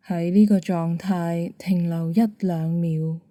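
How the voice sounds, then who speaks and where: A woman with a Hong Kong Cantonese accent, gently guiding a bedtime meditation in a calm, soothing manner. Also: fairly low pitch, takes it slowly, no room sound. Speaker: meditation guide. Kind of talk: guided bedtime meditation narration.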